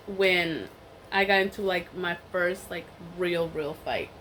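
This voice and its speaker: A woman's voice: fairly low pitch, slow pace, fairly bright tone, slight background noise, rough recording. Stuffy woman telling a rambling story. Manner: chatty and rambling, many fillers and restarts. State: stuffy from a cold. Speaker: vlogger. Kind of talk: casual storytime while eating.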